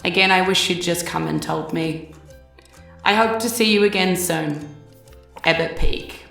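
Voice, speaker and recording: A woman with a New Zealand accent, running a tabletop game as game master. Her voice is fairly low and gravelly, and she narrates in a loose, rambling way with faint music underneath. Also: a little slow, roomy sound. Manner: relaxed, rambling with many fillers.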